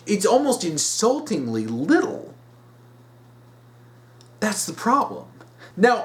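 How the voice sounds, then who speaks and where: Male commentator, slightly high voice, very animated intonation, blunt and exasperated casual rant delivery. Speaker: online commentator.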